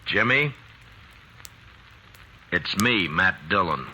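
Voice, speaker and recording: A man playing a steady frontier town marshal in a vintage-style radio drama, speaking calmly and firmly. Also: low voice, drawn-out words, full character voice. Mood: calm resolve. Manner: calm, firm, gentle. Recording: noisy audio.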